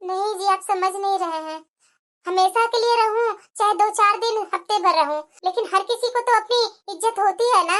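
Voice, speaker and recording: A woman's voice: extremely high pitch, normal speed, fairly bright tone. A woman voicing an aggrieved, dutiful young daughter-in-law in a family cartoon drama, with a complaining, pleading manner. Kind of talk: Hindi family drama storytelling.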